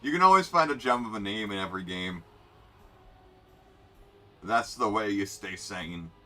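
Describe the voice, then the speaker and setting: A man's voice: fairly low pitch, animated, normal speed, bright, forceful tone. A young man streaming a racing game, rambling and joking as he reads out player names, with faint music behind. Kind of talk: casual live game commentary.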